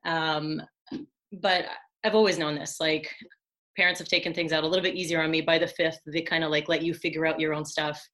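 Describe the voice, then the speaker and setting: A woman's voice: low-pitched, level tone, a little fast, fairly bright tone. A woman from Moldova whose first language is Russian, answering interview questions thoughtfully, with many ums and restarts. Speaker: podcast guest.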